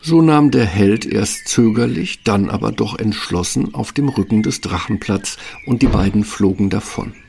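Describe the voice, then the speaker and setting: Gravelly male storyteller. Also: on the low side, moderate pace, few pauses, darkish tone, a little hiss or hum. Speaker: narrator. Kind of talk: scripted fairy-tale narration. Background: music.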